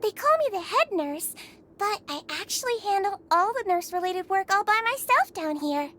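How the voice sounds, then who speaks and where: Brief: tiny kindly nurse creature, very high and lilting, game music under her. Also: big pitch swings, moderate pace, a little hiss or hum, exaggerated voice. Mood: caring. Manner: sweet, soft, caring and chirpy. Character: small gentle nonhuman female head nurse. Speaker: game character.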